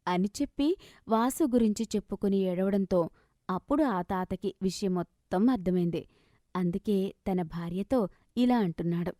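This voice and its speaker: Woman narrating a kids moral story, dramatic delivery, soft mellow voice, medium pitch, no room echo. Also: normal speed, studio quality.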